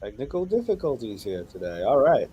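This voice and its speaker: A man's voice: medium pitch, very animated, brisk, husky, muffled recording. A man hosting a live-stream interview, asking playful quiz-style questions in an upbeat way. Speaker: host.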